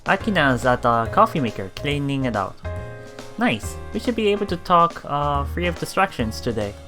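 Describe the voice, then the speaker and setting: A woman gives casual game commentary with a lively, varied pitch. She reads lines aloud and reacts with giggles and repeated words. Soft music plays behind her voice. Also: normal speed. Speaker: let's play streamer. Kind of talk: casual commentary while reading visual novel lines aloud. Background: visual novel game music.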